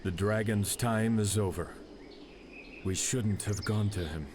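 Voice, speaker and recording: Man playing a deep-voiced, grave warrior clan leader. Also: noisy background, close to an everyday voice. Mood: grim, resolute. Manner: serious, measured, commanding. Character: grave, resolute young male warrior clan heir. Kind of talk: video game cutscene acting.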